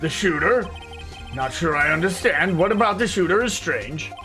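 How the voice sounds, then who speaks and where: Guy doing old courtroom judge from a video game, stuffy n measured, highish voice, bit of music behind. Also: animated, sharp tone. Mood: puzzled, solemn. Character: ponderous, formal elderly male courtroom judge. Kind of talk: video game character voicing.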